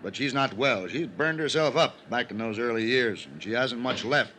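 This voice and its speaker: An actor playing an anxious, insistent older business manager in an old-time radio drama, speaking earnestly and urgently. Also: male voice, medium pitch, lively intonation, normal speed, bright, forceful tone. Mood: earnest, troubled. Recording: noisy old radio recording.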